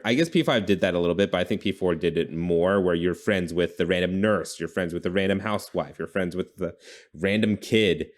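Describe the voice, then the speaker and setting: Deep-voiced man chatting casually. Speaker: podcast host. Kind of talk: casual brainstorming chat about video games.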